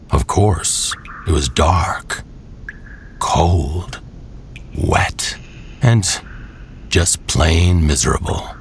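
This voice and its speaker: Male storyteller, low-pitched, creaky, dramatic horror narration, measured pauses, music underneath. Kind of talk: scripted horror tale narration. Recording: music behind the voice. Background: clear background music.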